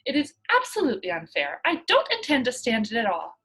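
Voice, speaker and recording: A bold and stubborn little storybook girl played by a woman reader. She speaks emphatically and with cheeky self-importance, her high, slightly husky voice leaping widely in pitch. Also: huge pitch swings, a little fast, a bit bright, heavily acted, low-quality recording. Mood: indignant.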